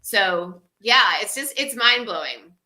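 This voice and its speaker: A woman's voice: medium pitch, expressive, slow, bright, forceful tone. A woman in her fifties co-hosting a wellness livestream, talking in a casual, effusive way and laughing often. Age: 50s.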